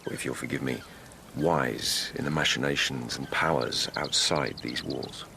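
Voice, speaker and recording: A man playing a probing, grave investigating lieutenant at an imperial court in a radio drama, speaking in a measured, serious way. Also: very deep voice, vocal fry, pushed and bright, a little hiss or hum, full character voice. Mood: grave, cautioning. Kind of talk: 2000s radio drama acting.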